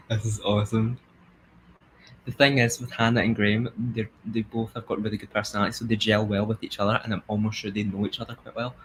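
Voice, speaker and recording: Young scottish guy from near glasgow streaming, low voice, giggly and hyped, quiet hum behind. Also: brisk, poor audio. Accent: Scottish, Glasgow area. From Scotland. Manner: excitable, chatty, laughs often. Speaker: live streamer. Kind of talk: casual live-stream commentary.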